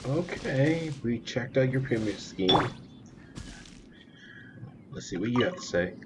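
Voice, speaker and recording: A man's voice: mid-range voice, animated, unhurried, heavy background noise. A young adult man giving casual commentary as he hosts a gaming video. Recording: loud game music behind voice. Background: game music.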